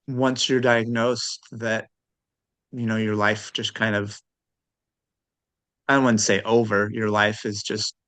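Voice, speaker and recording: A man with a medium-pitched voice answers as a guest in a casual conversation. He is thoughtful and hesitant, feeling his way through each point and hedging. The recording is dry and close. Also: a bit bright, dry recording. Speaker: interview guest, transplant recipient.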